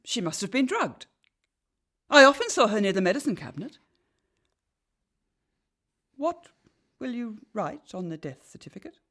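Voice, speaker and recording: A woman's voice: fairly high pitch, sing-song, normal speed, pauses often, a bit bright. An actress playing a severe, watchful, haunted lady of a Victorian house in a radio play, commanding and theatrical. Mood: suspicious, cool.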